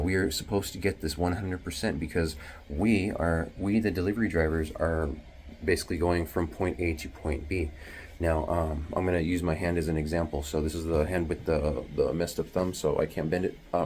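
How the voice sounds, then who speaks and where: A man with a very deep voice, talking hushed and rambling with many fillers while explaining delivery-driver pay in a casual vlog from a small bathroom at home. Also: slight background noise. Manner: hushed, half-whispering to avoid waking others.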